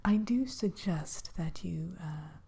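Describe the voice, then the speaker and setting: A woman playing a haughty, grudging witch innkeeper in a whispered ASMR roleplay, speaking in prim, old-fashioned phrases with hesitant pauses. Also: lowish voice, mellow, husky, full character voice. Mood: primly warning.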